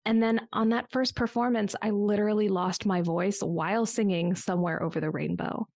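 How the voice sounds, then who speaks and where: Podcast guest, woman, forties, reflective storyteller, some vocal fry. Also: medium pitch, steady tone, normal speed, darkish tone, dry recording, clean studio sound. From United States. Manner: reflective storytelling with small restarts. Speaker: business coach guest. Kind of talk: conversational podcast interview.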